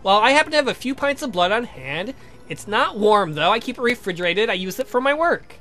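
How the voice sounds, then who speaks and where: A man's voice: high-pitched, expressive, brisk, pushed and bright, soft background music. A man voicing an eager, polite young scientist in a visual novel, speaking in a precise, inquisitive, formal way. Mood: matter-of-fact.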